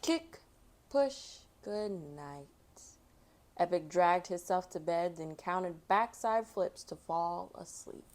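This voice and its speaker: Expressive woman reading a storybook aloud. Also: on the low side, slow. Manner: expressive, acts out sound effects and exclamations. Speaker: storytime host reading a picture book. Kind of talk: children's read-aloud with casual asides.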